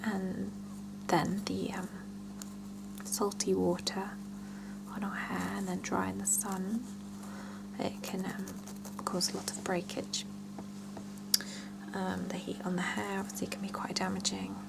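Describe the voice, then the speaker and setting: A woman whispering softly in an ASMR roleplay as a salon scalp therapist, chatting and explaining gently and without hurry. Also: mid-range voice, monotone, a little slow, long pauses, very noisy. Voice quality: soft breathy whisper with creaky edges.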